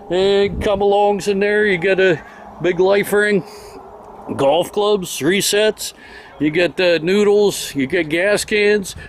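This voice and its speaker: A man giving a casual, folksy off-the-cuff walkthrough of auction items, listing them in short phrases outdoors into a handheld camera. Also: high-pitched. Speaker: auction house presenter. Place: outdoors in an open-air auction yard. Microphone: handheld camera held by the speaker. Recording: outdoor ambient noise.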